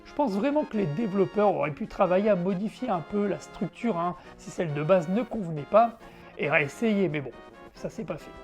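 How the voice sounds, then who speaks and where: A woman's voice: on the low side, expressive, brisk, darkish tone. A woman hosting a retro game show, narrating a review in a frank, conversational way with casual asides. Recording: background music under the voice.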